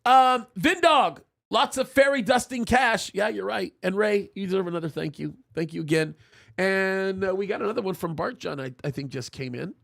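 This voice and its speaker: A man hosting a live stream, chatting casually and reading out viewer messages, animated and quick to laugh, often restarting his sentences. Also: high-pitched, creaky. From Egypt. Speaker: host of a live stream show.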